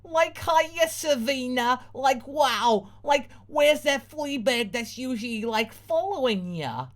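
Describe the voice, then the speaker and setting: A man's voice: extremely high pitch, animated, takes it slowly, a bit bright, pitched above the performer's own voice, exaggerated voice. A man voicing a ditzy valley-girl teenage girl who starts every phrase with like. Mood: snide.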